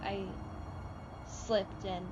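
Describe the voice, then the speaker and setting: A woman's voice: medium pitch, little pitch movement, drawn-out words, over music. A teenage female student actor playing a bitter, wistful bullied girl in a one-act play. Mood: haunted, recounting. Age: teens. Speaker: youth theatre student actor. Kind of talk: staged one-act play dialogue and monologue.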